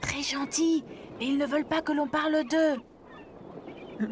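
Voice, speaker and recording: A woman's voice: high voice, steady tone, takes it slowly, a little hiss or hum. A woman voicing a polite, earnest young boy in a French cartoon dub.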